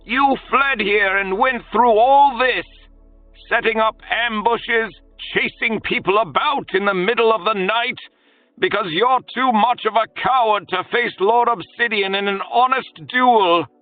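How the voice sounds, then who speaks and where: A man's voice: high-pitched, measured pace, phone-quality sound, faint music behind, pitched above the performer's own voice. A man voicing a haughty older woman who advises a duke and scolds soldiers. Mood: scornful.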